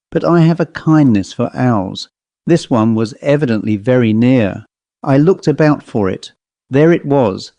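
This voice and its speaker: A man reading a literary ghost story as an audiobook narrator, in a measured storytelling manner. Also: medium pitch, warm tone, dry recording.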